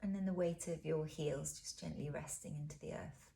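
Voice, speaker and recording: Low, mellow, creaky woman guiding meditation. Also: fairly even intonation, slight background noise. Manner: soothing, unhurried, gentle instruction with soft pauses. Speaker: relaxation and yoga teacher. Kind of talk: guided body scan meditation.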